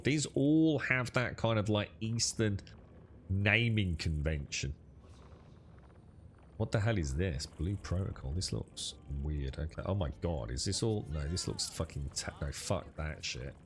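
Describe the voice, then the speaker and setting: A man's voice: lowish voice, fairly bright tone, slight background noise. A young man streaming games, chatting with friends in a blunt, sweary, dismissive way. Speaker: gaming streamer. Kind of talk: casual gaming stream chat.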